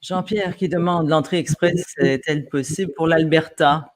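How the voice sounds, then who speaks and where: A woman's voice: deep voice, steady tone. A woman hosting an interview, reading out viewer questions and adding brief acknowledgements. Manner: brisk, brief back-channel replies.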